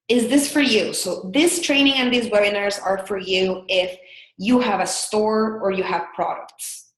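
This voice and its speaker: A woman's voice: mid-range voice, a little slow, echoey room, poor audio. A woman in her thirties from El Salvador with a Salvadoran Spanish accent, presenting a business webinar in an earnest, candid way with frequent fillers. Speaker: business consultant.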